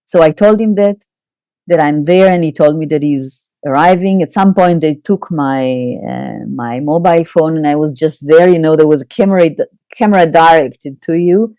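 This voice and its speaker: A middle-aged woman with an Israeli accent, a psychoanalyst guest in an interview, telling her story candidly with halting pauses and wry laughs. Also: low voice, mellow, clean tone, dry recording.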